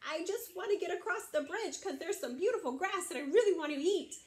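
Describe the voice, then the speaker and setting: A woman's voice: very high-pitched, lively intonation, brisk, pitched above the performer's own voice. A woman voicing a tiny young male billy goat in a children's story, small, innocent and pleading. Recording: echoey room.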